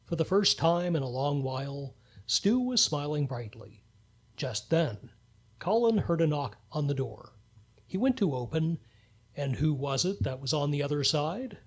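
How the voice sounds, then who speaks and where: A man's voice: fairly high pitch, expressive, unhurried, husky. A man narrating a radio play in a scripted storytelling style.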